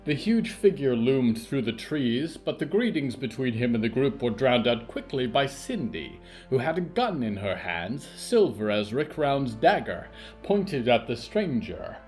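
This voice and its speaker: A man narrating scripted literary horror fiction in a measured, theatrical way with dramatic pauses. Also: medium pitch, sing-song, a little mellow, soft background music.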